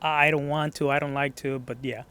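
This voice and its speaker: A Mexican-accented man with a slightly higher voice talks in a relaxed gym interview. He is modest and searches for words in his second language. His sentences restart often. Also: a little fast, clear, resonant tone. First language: Spanish. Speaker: gym member being interviewed. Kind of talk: casual interview.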